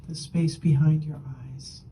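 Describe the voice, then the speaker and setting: A woman leading a guided meditation as a teacher, gently and unhurriedly, in short phrases with long pauses, her soft, breathy voice often falling toward a whisper. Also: sits low, little pitch movement, a little hiss or hum.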